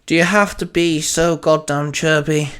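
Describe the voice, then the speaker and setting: A man's voice: a little high, steady tone, a little slow, a bit bright, clean tone. A man voicing a dejected, snappy young hero in an audio story, grumbling and overdramatic. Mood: grumpy.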